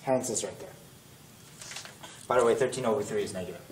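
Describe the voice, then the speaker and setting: A man's voice: on the low side, brisk. Young man tutoring in an echoey classroom. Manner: rambling, self-correcting, thinking aloud. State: strained, losing his voice. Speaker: math tutor. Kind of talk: casual whiteboard lesson. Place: classroom at a whiteboard. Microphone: camera-mounted mic at a distance. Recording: echoey room, distant camera mic, faint noise.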